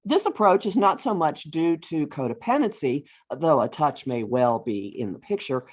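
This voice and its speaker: A middle-aged or older woman reading her own humorous essay aloud with deliberate phrasing and wry emphasis, as an author on a video call. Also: deep voice, animated, a little mellow, slightly rough. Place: virtual author talk over video call. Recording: dull, lo-fi online call audio.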